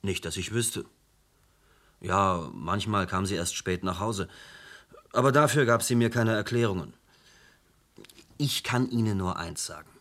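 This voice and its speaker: A man's voice: fairly low pitch, a little slow, pauses often, a bit bright. An actor playing a blunt man who insists that his sister did not take her own life, in a radio drama. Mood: defensive. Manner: curt, insistent. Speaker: brother of the dead woman.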